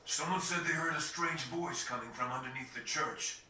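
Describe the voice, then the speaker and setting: A man's voice: fairly high pitch, normal speed, sharp tone, a little hiss or hum. A man voicing a chatty, mischievous young townsman in a video game. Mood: explaining. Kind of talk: video game cutscene voice acting. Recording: echoey, slightly distant game audio.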